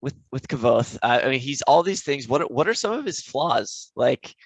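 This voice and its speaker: A man's voice: mid-range voice, sing-song, moderate pace, slightly rough, no echo. A man co-hosting a podcast in a casual, conversational book discussion. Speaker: podcast co-host.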